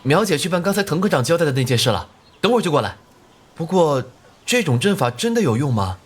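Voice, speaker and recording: A man playing a naive, excitable young junior investigator in an animated crime drama. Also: fairly high pitch, quick pace, slightly rough, faint music behind. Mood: curious, amazed.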